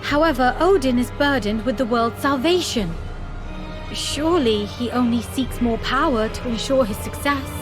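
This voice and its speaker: Woman playing a high-voiced, earnest loyal servant. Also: moderate pace, close to an everyday voice. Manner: polite, formal, earnest. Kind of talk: scripted video game drama. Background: music.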